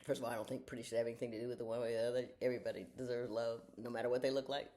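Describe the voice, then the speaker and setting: A woman in her sixties talking casually to viewers from home in the early morning, giving frank, folksy advice and sometimes dropping to a soft half-whisper. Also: extremely low pitch, little pitch movement, rapid-fire. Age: 60s. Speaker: retired judge giving life advice. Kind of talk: casual reflective talk to viewers. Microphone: camera she leans toward.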